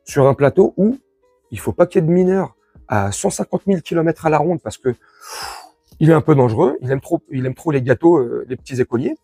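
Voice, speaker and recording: Husky, animated man ranting in slang. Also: fairly high pitch, a little fast, dry recording, studio quality, soft background music. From France. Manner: crude, slangy, sarcastic, indignant. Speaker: online commentator. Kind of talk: informal opinion rant to followers.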